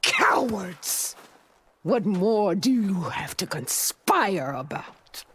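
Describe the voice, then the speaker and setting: A woman's voice: medium pitch, sing-song, fairly bright tone, a little hiss or hum, full character voice. A woman playing a proud, defiant defeated rebel warrior in a video game, with dramatic, scornful delivery. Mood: defiant contempt. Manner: dramatic, scornful, slow and weighty.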